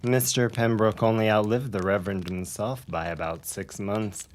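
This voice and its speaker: A performer playing an ominous small-town gossip with dark secrets in a period audio drama, talking in an understated, insinuating way. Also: mid-range voice, steady tone, normal speed, natural voice.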